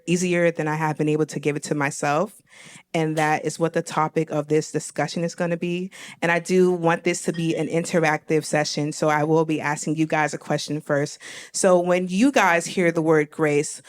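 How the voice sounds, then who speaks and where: A young woman from Miami hosting a live stage conversation, talking candidly and laughing easily. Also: low-pitched. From Miami, Florida. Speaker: host. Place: live event stage before an audience.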